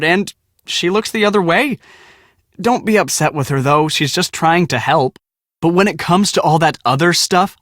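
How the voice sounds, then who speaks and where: A cocky, high-voiced young American photographer. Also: male voice, a bit bright, no room sound, studio quality. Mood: defensive, pleading. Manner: slangy, boastful, dramatic. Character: cocky, excitable young storm-chasing photographer, squeamish about mice. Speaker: video game character, storm team media guy. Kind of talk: animated casual game dialogue.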